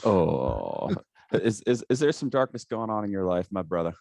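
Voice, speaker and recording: Co-host: low man, gravelly, swoops all over, dry close sound, jokey and affirming. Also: big pitch swings, normal speed, darkish tone, no echo. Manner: playful, affirming interjections. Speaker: podcast co-host. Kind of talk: casual chat. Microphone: headphones on a remote call.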